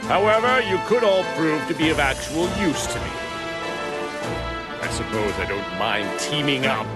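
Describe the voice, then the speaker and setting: A man's voice: medium pitch, animated, moderate pace, loud music behind the voice, lightly acted. A man playing an arrogant bio-engineered monster in an anime video game, coolly analytical, smug and superior. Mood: calculating. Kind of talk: dubbed anime game cutscene acting.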